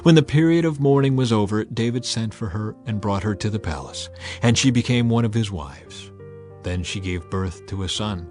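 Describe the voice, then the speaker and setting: A man giving a measured, solemn, dramatized narration of scripture as an audiobook reader. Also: lowish voice, lively intonation, darkish tone. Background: music.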